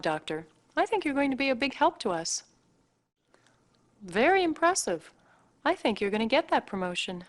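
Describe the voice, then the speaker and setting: A brisk female hospital staffer in a game cutscene. Her voice sits fairly high and moves with very lively pitch. She instructs, scolds and encourages in a pointed, teasing manner. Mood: encouraging. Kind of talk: acted game cutscene dialogue addressed to the player.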